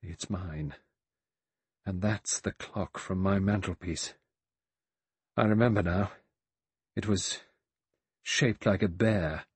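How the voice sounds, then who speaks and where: A man's voice: low-pitched, slow, pauses often, husky, no room sound, exaggerated voice. A man voicing a frightened, desperate woman in an audiobook, with urgent and anxious pleading. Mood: dull, shaken.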